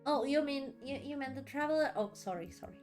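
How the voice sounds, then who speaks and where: A woman's voice: high voice, lively intonation, fast talker, darkish tone. A woman streamer giving casual game commentary in a joking, hesitant, rambling way. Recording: clear game music behind.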